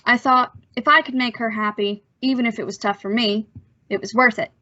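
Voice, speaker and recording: A woman as an earnest teenage boy narrating and acting in a radio suspense play. The voice is fairly high and bright, with a bashful, halting delivery. The recording is slightly muffled. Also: fairly even intonation, a little hiss or hum. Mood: wistful narration. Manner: halting, nervous stammer with sheepish delivery.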